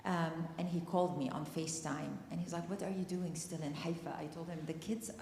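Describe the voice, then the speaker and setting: A woman's voice: sits low, steady tone, a little fast, darkish tone. Earnest woman in an echoey hall. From Palestine. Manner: emotional, near tears, earnest. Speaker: panel speaker. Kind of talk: personal story at a community discussion. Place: church hall. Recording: echoey hall, faint noise.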